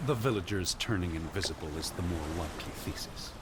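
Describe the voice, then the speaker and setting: A man's voice: low voice, fast, heavy background noise, natural voice. A man voicing an earnest, humorless 1920s scholar in a video game, speaking in a stiff, precise manner. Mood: reluctant, exasperated.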